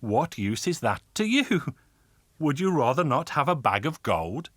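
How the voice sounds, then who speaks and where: A man's voice: medium pitch, sing-song, a little slow, a bit bright, no echo, studio quality, voice pushed up. A man voicing an eager young princess who likes to bargain, in a storybook folk tale over music.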